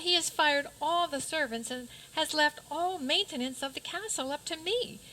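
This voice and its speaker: A woman's voice: extremely high pitch, sharp tone, a little hiss or hum, exaggerated voice, low-quality recording. A woman playing an overworked, exasperated fairy-tale princess, complaining dramatically in a radio theater comedy.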